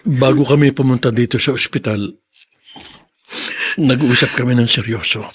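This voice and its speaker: A man's voice: mid-range voice, fairly even intonation, normal speed, breathy edge, close to an everyday voice. A man playing a repentant father in a drama, earnest and conciliatory, with a Filipino accent. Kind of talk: Filipino radio-style drama acting.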